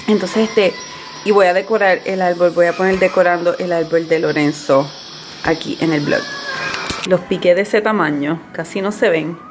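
A woman's voice: on the low side, fairly even intonation, over music. A woman in her thirties talking casually to the camera at home as a mom vlogger, filming herself up close with a handheld camera. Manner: quizzing her toddler in slow, drawn-out questions. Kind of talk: casual home vlog chat. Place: living room at home. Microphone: handheld camera close to face.

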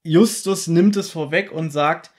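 A man's voice: a little high, a little slow. A man co-hosting a podcast, casually retelling a story and bantering. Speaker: podcast co-host.

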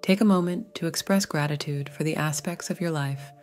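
A woman narrating a scripted guided meditation in a gentle, soothing, measured way. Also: sits low, faint music behind.

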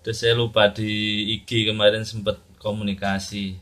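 A man's voice: lowish voice, level tone, moderate pace, fairly bright tone. A man in his thirties with a Javanese regional Indonesian accent, chatting casually as an amateur radio operator and channel host in a small room at home. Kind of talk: casual two-way radio chat to camera. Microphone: camera at arm's length, handheld radio microphone in hand. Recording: noisy room recording with clicks.

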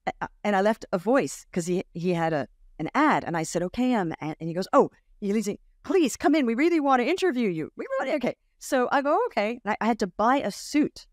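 A woman in her fifties, raised in Australia, talks as a podcast guest in a very dry home room, close to a large studio mic. She tells stories with animation, acting out the voices of the people in them. Her pitch sits in the middle for a woman. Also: a little fast, hardly any pauses, no echo. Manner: animated storyteller, acts out quoted voices, laughs often. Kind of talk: casual interview storytelling. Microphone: large studio mic on boom arm, video call.

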